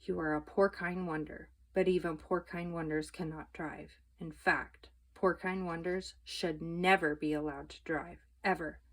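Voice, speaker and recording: An excitable, adoring husband from a children's storybook, acted out by a woman reader. He speaks emphatically and effusively, piling endearment on endearment. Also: on the low side, measured pace, hardly any pauses. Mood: doting, relieved. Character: excitable, doting middle-aged husband, Mister Watson.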